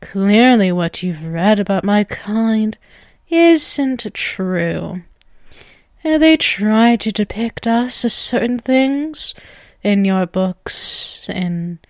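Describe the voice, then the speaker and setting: A woman's voice: mid-range voice, no echo, studio quality. A woman voicing a dominant, ageless vampiress in an ASMR roleplay, teasing and condescending, drawing out her words. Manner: slow, condescending, teasing, with drawn-out words and chuckles. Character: dominant, taunting ageless vampiress toying with a captive human.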